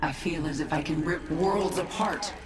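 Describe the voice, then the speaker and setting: A woman voicing a proud, menacing alien swarm queen in a video game, measured and commanding. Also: low-pitched, close to an everyday voice. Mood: proud, intense. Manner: measured, imperious. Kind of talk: video game cinematic acting. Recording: echoing voice over music.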